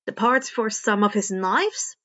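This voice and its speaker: A woman reading a story aloud as an expressive narrator. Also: medium pitch, unhurried, muffled recording. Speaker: podfic narrator reading fan fiction.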